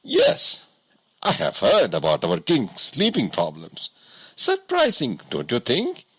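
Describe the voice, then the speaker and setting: Guy doing a poor honest woodcutter for an audiobook, pitched way up, husky, swoops around a lot. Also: wildly swooping pitch, slow, a bit bright, no room sound, exaggerated voice. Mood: wondering, frank. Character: poor hardworking woodcutter, frank and wondering, family man. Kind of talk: audiobook storytelling.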